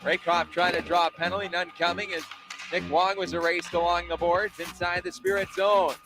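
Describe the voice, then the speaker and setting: Excited hockey announcer guy, high voice, energetic play by play, music behind him. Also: normal speed. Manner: energetic, rising to shouts at big moments. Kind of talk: excited live sports commentary.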